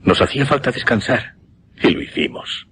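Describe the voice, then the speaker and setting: An actor playing an intense mesmerist who recounts a macabre experiment in a suspense radio drama, with a Castilian accent and deliberate, emphatic delivery. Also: male voice, medium pitch, very animated, fairly bright tone, a little hiss or hum. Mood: solemn, deliberate. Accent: Castilian Spanish.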